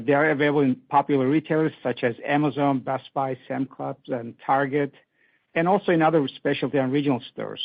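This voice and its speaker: Male executive on a tinny phone line. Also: mid-range voice. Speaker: company device business executive. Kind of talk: earnings call answer. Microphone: conference call line.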